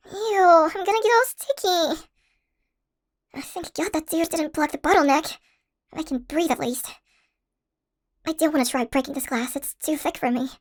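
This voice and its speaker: An anxious, tiny college girl, played by a woman for an audio roleplay. Her voice sits extremely high and young. She talks in a chatty, expressive way, hesitating as she goes. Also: close to an everyday voice. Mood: anxious musing. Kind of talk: first-person audio roleplay, conversational acting.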